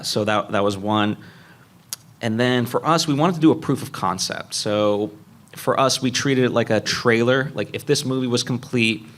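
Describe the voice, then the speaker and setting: A man's voice: lowish voice, fairly bright tone. A man speaking as a panelist at a live convention, methodically explaining things point by point. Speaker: panelist, indie animation filmmaker.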